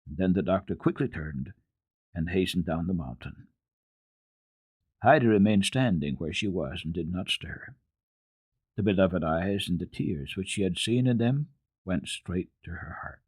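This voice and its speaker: A man narrating children's literature as a radio storyteller, reading dramatically in an expressive, deliberate way. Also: deep voice, breathy edge, no echo.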